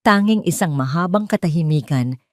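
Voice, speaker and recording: A woman with a Filipino accent narrating a scripted love story as a storyteller, with an expressive, dramatic delivery. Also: low voice, normal speed, dry recording, clean studio sound.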